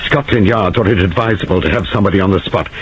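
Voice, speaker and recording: A man voicing a brisk middle-aged police inspector who talks in short, clipped bursts. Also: deep voice, a little hiss or hum, voice pushed up, natural voice, low-quality recording. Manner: clipped, short explosive sentences.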